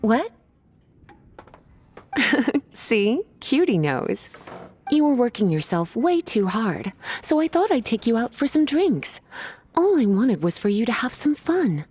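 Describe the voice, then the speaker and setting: Teasing, languid woman, higher-pitched. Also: big pitch swings, a little mellow, faint music behind. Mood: chiding, fond. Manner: playful, coaxing, drawn-out delivery. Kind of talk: video game voice acting.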